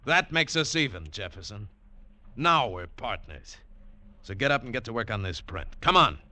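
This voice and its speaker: A man's voice: lowish voice, very animated, moderate pace, bright, forceful tone, exaggerated voice. A man playing a tough, wary American adventurer who narrates his treasure hunt in clipped, hardboiled 1940s radio style. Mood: brisk, commanding. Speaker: lead actor and narrator. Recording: old 1940s radio broadcast, music behind.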